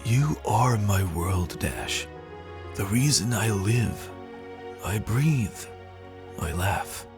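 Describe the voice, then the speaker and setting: A man voicing a lovestruck young male pony, speaking earnestly with heartfelt, dramatic pauses in a fan fiction reading. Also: on the low side, expressive, music in the background. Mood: adoring, earnest.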